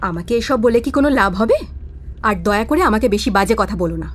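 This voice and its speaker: An actress playing a fed-up, scornful ex-wife in an audio drama, cutting, commanding and reproachful. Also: female voice, medium pitch, expressive, a little fast, natural voice. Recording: vehicle noise behind the voice. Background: car ride ambience.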